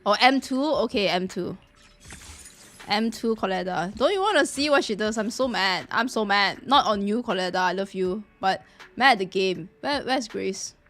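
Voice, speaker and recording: A woman chatting casually on a live game stream, reacting excitably and repeating her phrases. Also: medium pitch, normal speed.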